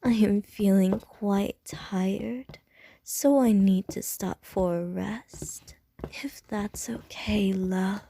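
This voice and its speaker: A woman's voice: mid-range voice, steady tone, slow pace, a little hiss or hum. A woman voicing a whispering, flirtatious ancient vampire lady. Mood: teasing. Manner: soft breathy whisper, teasing. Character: ancient flirtatious female vampire, seductive and teasing. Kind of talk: ASMR audio roleplay.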